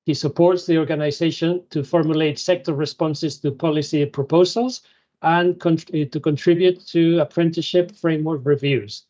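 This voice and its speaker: Panel moderator, hesitant, filler words, mid pitch, dull lo-fi audio. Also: steady tone, moderate pace, mellow. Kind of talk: hosting an online panel.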